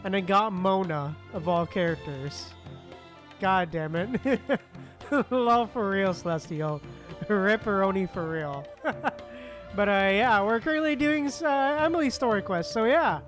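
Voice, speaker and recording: A woman's voice: mid-range voice, measured pace. Chatty woman streaming a game. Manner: chatty, reactive, interjecting. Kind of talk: casual live game commentary. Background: game music.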